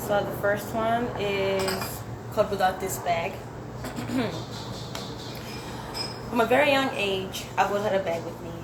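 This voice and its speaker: A young woman recites spoken word poetry in a measured, reflective way. Her shapes her vowels. She is caught in a roomy hall, with steady background noise around her. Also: medium pitch. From Zambia. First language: Swahili. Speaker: poet. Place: indoor dinner event hall.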